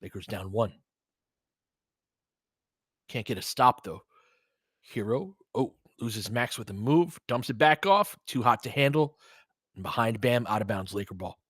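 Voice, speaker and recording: Male play-by-play streamer, bright forceful voice, dry close sound, clipped calls mixed with casual analysis and listener asides. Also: mid-range voice, lively intonation, unhurried, pauses often, breathy edge, no echo. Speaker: play-by-play host of a fan audio stream.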